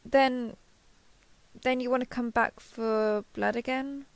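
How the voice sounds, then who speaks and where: A woman's voice: fairly high pitch, fairly even intonation, slow, no echo. A woman playing a kindhearted, awkward young woman who gets easily flustered, speaking hesitantly and earnestly in an intimate roleplay audio drama.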